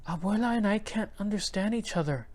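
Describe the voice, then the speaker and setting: A man's voice: sits high, brisk, darkish tone, voice pushed up, natural voice. A man voicing an eager, hopeful young girl in a read-aloud storybook, raising his voice into a childlike lilt.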